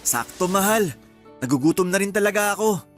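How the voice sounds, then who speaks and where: A man's voice: high-pitched, animated, a little fast, soft background music. A man playing a hardworking, loving young husband in a Filipino radio drama, affectionate and easygoing. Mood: pleased, easygoing.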